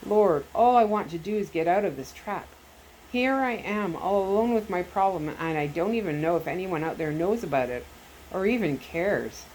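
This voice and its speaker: A woman's voice: fairly low pitch, lively intonation, moderate pace, a little mellow, a little hiss or hum, lightly acted, low-quality recording. A woman voicing a troubled daughter who prays in a pleading, searching way. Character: troubled daughter praying earnestly while trapped.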